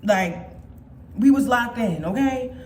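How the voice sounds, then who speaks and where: A woman's voice: fairly high pitch, lively intonation, takes it slowly, a little mellow, roomy sound, slight background noise. A woman in her twenties chatting casually and playfully to her viewers as a vlogger, swearing freely. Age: 20s. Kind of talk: casual chat.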